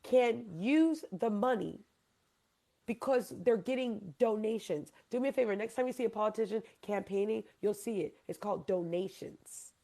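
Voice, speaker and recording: Woman host from Hawaii, gravelly voice, rambling emphatic talker, keeps quizzing her guests. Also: mid-range voice, normal speed, dark tone. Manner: rambling, emphatic, asks listeners questions. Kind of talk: casual debate chat.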